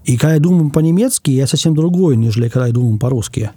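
A man from Germany, a guest in an interview, speaking thoughtfully in casual talk and pausing often to find his words. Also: mid-range voice, lively intonation, mellow, breathy edge, dry recording, studio quality.

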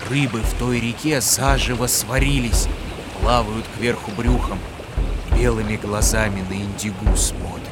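A man narrating a folk tale in a calm, rhythmic storytelling voice. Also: mid-range voice, background noise. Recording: clear music behind.

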